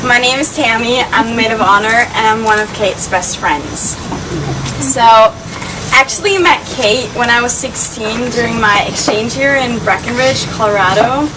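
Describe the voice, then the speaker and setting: A woman's voice: fairly high pitch, normal speed. A woman in her late twenties with an Austrian German accent, a native German speaker, giving a chatty, giggly maid-of-honor toast at a wedding reception. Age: late 20s. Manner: giggly, chatty, laughs between lines. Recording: distant mic in a noisy crowd.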